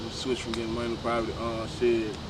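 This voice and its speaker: A man's voice: mid-range voice, completely flat delivery, fast, recorded from a distance, heavy background noise. A man, talking loosely in a casual interview and often restarting his phrases. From Shreveport, Louisiana, USA. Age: 20s. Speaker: guest, tattoo artist.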